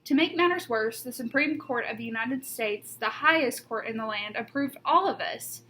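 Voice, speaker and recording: A woman reading a children's picture book aloud like a teacher, with deliberate emphasis on key words. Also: fairly high pitch, bright, forceful tone. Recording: noticeable background noise.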